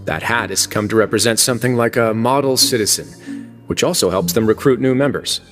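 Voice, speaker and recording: A man plays a relaxed, wry prison warden in a video game, speaking in a low voice. His delivery is calm and unhurried, with dry, understated humor. Faint music plays behind. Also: close to an everyday voice. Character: laid-back male prison warden, wry, dry and in control.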